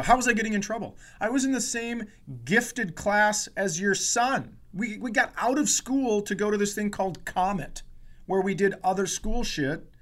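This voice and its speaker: High-voiced, exasperated man telling stories. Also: a bit bright. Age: 40s. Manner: profane, exasperated ranting. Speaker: podcast host, comedian. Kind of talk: comedic storytelling in casual chat.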